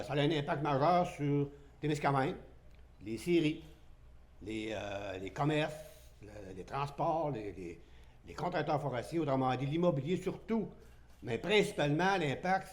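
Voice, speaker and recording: Mayor talking at a press conference, lots of euhs, measured and steady. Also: male voice, medium pitch. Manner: measured, hesitant, many fillers.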